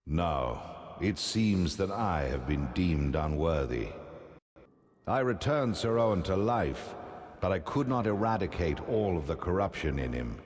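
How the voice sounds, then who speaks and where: Deep, solemn fantasy leader. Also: male voice, darkish tone. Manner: grave, formal, measured. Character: solemn, remorseful noble spiritual leader pleading for trust. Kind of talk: fantasy video game voice acting. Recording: echoey hall reverb, clear background ambience.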